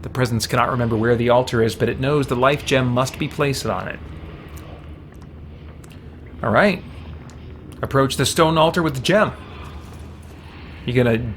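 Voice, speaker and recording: A man live-streaming a game, reading its text aloud and chatting casually as he thinks out loud. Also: mid-range voice, moderate pace, long pauses. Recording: steady background noise.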